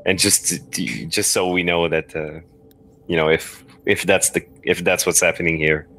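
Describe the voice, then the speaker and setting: Deep-voiced man playing a story game. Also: little pitch movement, a little slow, pushed and bright, low-quality recording. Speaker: guest player. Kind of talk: casual chat while playing a game.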